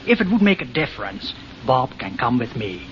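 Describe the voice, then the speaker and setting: A polite, formal police captain, acted by a man in a 1940s radio serial. His mid-range voice is reserved yet varied in pitch. The recording is dull and a little noisy. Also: big pitch swings, moderate pace, natural voice. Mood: courteous.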